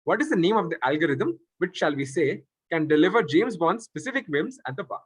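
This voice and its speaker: A male quiz master reads questions aloud in a somewhat high voice. It has a husky edge. The sound is dry, with little room around it. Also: big pitch swings, brisk, no room sound. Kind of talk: reading quiz questions aloud, with spoken asides.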